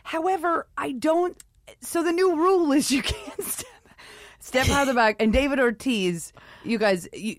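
A woman co-hosting a casual chat, brisk and enthusiastic as she steers the talk. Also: sits high, dry recording, studio quality. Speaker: podcast co-host.

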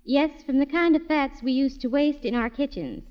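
A woman's voice: sits high, normal speed. A woman giving a scripted 1940s radio appeal as a guest navy nurse. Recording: muffled old radio broadcast.

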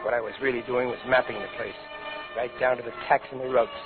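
A man's voice: mid-range voice, fairly even intonation, fast, close to an everyday voice. A tough ex-con played by a man talks in slangy, hard-boiled crime-drama style. The sound is a narrow, old 1950s radio broadcast, with music playing behind him. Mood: wry, confident. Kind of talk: 1950s radio crime drama acting, first-person narration.

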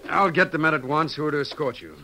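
Bright, forceful frontier cavalry captain. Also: male voice, a little high, quick pace, a little hiss or hum. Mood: firm, concerned. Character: earnest, dutiful cavalry captain at frontier fort. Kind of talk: old radio western acting.

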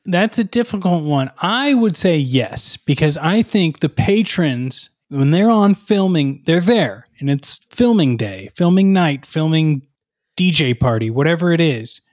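Wry, slightly high-voiced male host. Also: expressive, unhurried, darkish tone, no room sound, clean studio sound. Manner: playful, wry, goes along with the bit. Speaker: podcast host. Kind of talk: casual comedic interview.

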